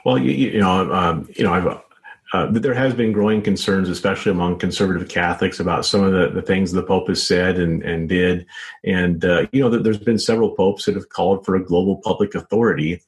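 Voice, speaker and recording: Deep-voiced American man, halting speech. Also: steady tone. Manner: halting, repeats words and says "you know" constantly. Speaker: interview guest, author and journalist. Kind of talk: conversational interview answers.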